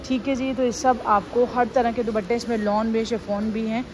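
Woman, upbeat shopping vlogger, raised pitch, phone-recorded in a noisy warehouse. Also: fairly even intonation, moderate pace. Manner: upbeat, repeats fillers like "theek hai". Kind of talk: shopping vlog walkthrough. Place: large busy clothing warehouse indoors. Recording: noisy store ambience.

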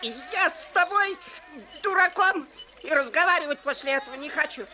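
A woman voicing a stern, know-it-all boy leader in an animated film, scolding and reproachful. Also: high-pitched, normal speed. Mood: cross. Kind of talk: animated film character acting.

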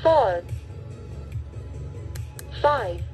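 A woman's voice: on the high side, animated, drawn-out words, darkish tone, faint music behind. A synthetic woman's voice making clipped, mechanical announcements through a small handheld radio speaker. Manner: clipped, mechanical word-by-word delivery. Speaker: radio voice prompt. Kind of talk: automated channel announcements.

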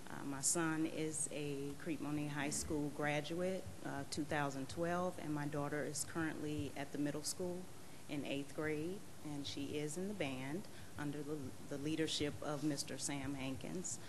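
A woman's voice: low-pitched, steady tone, normal speed. Earnest mother speaking in an auditorium. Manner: earnest, hesitant with many fillers. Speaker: parent giving public comment. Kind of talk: public comment at a school board meeting. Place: large auditorium. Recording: reverberant hall, faint room noise.

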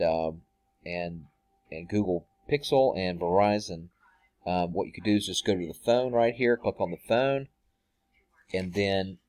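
A middle-aged man with a fairly low voice walks through a tech tutorial in a casual way. He is recorded indoors at home, and the sound is fairly dry, with little room echo. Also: darkish tone. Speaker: tech help video host.

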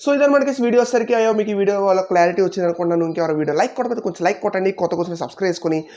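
A woman's voice: medium pitch, rapid-fire, a little mellow. A woman with a Telugu accent giving casual, explanatory video game commentary straight to viewers, as a gaming YouTuber. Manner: explanatory, addresses viewers directly.

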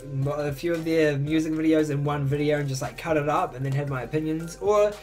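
Excitable eighteen-year-old guy vlogging. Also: a little fast, soft background music. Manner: excitable, swings up high when enthusing. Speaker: YouTuber answering fan questions. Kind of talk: casual Q&A vlog. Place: bedroom, indoors.

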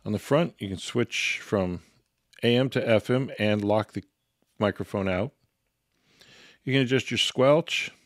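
A man's voice: lowish voice, unhurried, pauses often, no room sound, studio quality. A man giving an instructional product walkthrough in a matter-of-fact, step-by-step way. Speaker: product reviewer and demonstrator.

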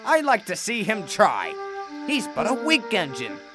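A man's voice: high-pitched, wildly swooping pitch, a little slow, over music, voice pushed up, heavily acted. A man voicing a proud, vain male steam engine who is easily miffed and scornful of others. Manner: scornful, protesting. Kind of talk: storybook character voice.

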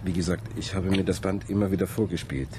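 An actor playing a troubled, intense young male student in a radio drama, speaking in a brooding, halting way. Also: low voice, steady tone, music in the background, lightly acted. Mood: haunted, confiding. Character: troubled young male student haunted by his ancestor's memories. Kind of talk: German radio drama dialogue.

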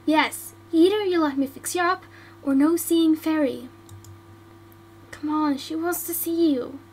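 A woman voicing a calm, blunt female witch healer in an amateur audio drama. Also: sits high, measured pace, a little hiss or hum, rough recording. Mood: firm.

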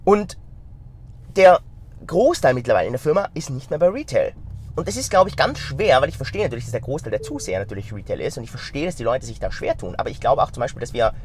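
A middle-aged man with a slight stuffy cold, talking straight to camera from inside a car, energetic and gesturing as he goes. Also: medium pitch, fast, a little hiss or hum. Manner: energetic, gesturing with his hands, talks straight to the viewer. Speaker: vlogger and company founder. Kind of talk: casual talk to camera. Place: inside a car in city traffic. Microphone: phone camera mounted in the car.

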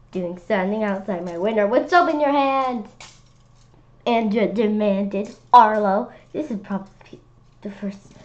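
A woman reading a children's book aloud slowly, stumbling and restarting, with lively voices for the characters. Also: mid-range voice. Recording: slightly dull lo-fi sound.

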